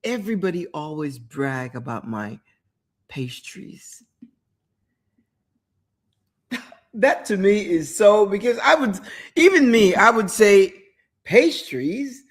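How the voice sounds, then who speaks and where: A woman's voice: mid-range voice, sing-song, takes it slowly, pauses often. A woman hosting a motivational talk show, retelling a story with emphatic repetition and speaking directly to the listener. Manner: emphatic, repeats words for effect, addresses listener directly; storytelling with quoted inner thoughts. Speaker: host.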